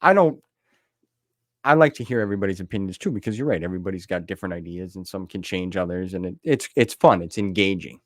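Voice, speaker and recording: A man's voice: low-pitched, fast talker, no room sound, studio quality. A man hosting a podcast and chatting casually with his guest. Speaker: podcast host. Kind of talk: casual chat.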